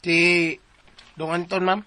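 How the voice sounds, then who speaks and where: A man's voice: high-pitched, little pitch movement, slow, pushed and bright, dry recording, full character voice. A man with a Filipino accent playing a cheeky, bumbling household helper in a comic radio drama, chattering and repeating himself. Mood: deferential, joking. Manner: chattery, repetitive, teasing.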